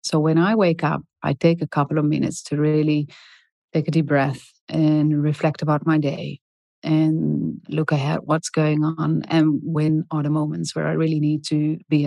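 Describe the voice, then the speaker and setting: Calm, soft-spoken woman, low voice. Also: creaky, no echo. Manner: calm, soft, deliberate, with many ums. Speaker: guest expert on leadership. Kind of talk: podcast interview.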